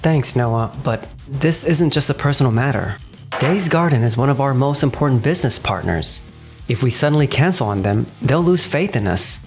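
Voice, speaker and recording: A man's voice: medium pitch, animated, normal speed, faint music behind, natural voice. A man playing an earnest, responsible young businessman in a manga dub, narrating and speaking in character. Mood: composed, firm. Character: earnest, responsible 29-year-old businessman narrating his betrayal.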